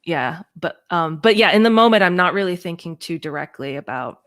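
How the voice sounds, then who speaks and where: A woman's voice: fairly low pitch, animated, brisk, a bit bright. A woman from Hong Kong chatting casually as an interview guest, hesitant and self-effacing, thinking aloud. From Hong Kong, born in the US. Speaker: guest puppeteer and storyteller.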